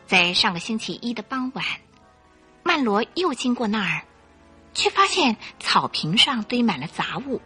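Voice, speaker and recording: A woman narrating a Chinese radio detective drama in a measured, suspenseful storytelling style. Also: a little high, big pitch swings, sharp tone, husky, full character voice. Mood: suspenseful narration. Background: faint music.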